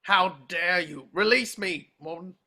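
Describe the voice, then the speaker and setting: A scruffy, resentful villain's henchman, played by a man, with a forceful, sharp voice. He is surly and rough, delivered as a film script read aloud. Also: sits high, unhurried, full character voice. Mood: outraged.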